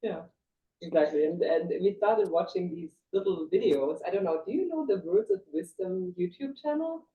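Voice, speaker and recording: A woman who works with nonprofits, a parent, introducing herself in a chatty, animated way and thinking aloud with fillers. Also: on the low side, normal speed, narrow, tinny audio. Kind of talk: casual group introduction.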